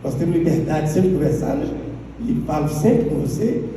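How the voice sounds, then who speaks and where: A man from the audience speaking in halting sentences with frequent tag questions. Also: a little high, animated, dark tone, slight background noise. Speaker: audience member. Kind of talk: public tribute from the audience. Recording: distant mic in reverberant hall.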